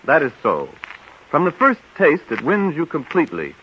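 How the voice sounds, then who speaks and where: A muffled, slightly noisy vintage radio recording of a male announcer. His polished, persuasive delivery is bright and lively in pitch. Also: moderate pace.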